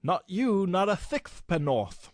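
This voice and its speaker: An actor playing a stout, coarse but genial gentleman of forty who speaks with a lisp, in a satirical audio play. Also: sits high, sing-song, takes it slowly, no room sound, exaggerated voice. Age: 40s.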